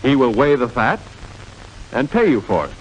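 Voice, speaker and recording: A man's voice: mid-range voice, sing-song, normal speed, over music, natural voice, poor audio. A man playing an earnest, emphatic wartime propaganda narrator in a 1940s newsreel style, on an old film soundtrack. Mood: instructive. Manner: emphatic, declamatory.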